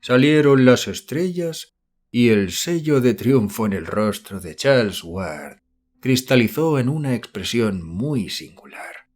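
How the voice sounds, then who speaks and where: Measured male audiobook narrator. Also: mid-range voice, lively intonation, darkish tone. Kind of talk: scripted narration of a horror novel.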